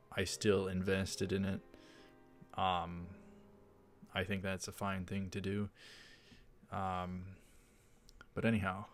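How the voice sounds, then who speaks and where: Low-voiced man hosting a let's play. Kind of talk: casual game commentary. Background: faint game music.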